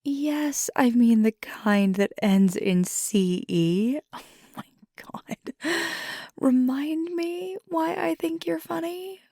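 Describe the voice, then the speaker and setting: A woman playing an affectionate, teasing werewolf girlfriend in an ASMR roleplay, speaking in a soft, breathy, half-whispered voice with casual pauses. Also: high voice, no echo, clean studio sound, heavily acted. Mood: teasing, amused. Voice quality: breathy, husky, gravelly fry. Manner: casual, unhurried, hesitant pauses. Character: fiery werewolf girlfriend, affectionate, teasing, weary pack member.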